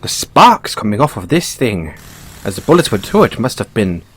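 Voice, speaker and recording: A man acts out a curious Irish bunny-boy mechanic from an audio roleplay. His pitch swings widely as he talks, and the voice has a slightly husky edge. He is polite and gently nosy, picking his words with wry understatement. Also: very animated, normal speed, heavily acted. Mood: tactful, wry. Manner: gently probing, wry understatement, hesitant phrasing.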